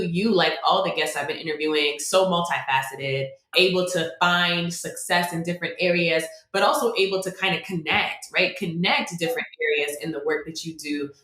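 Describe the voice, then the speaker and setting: Upbeat female podcast host, slightly low voice, roomy recording. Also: steady tone, normal speed, few pauses, a bit bright. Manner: enthusiastic, upbeat. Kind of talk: podcast interview. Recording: roomy echo.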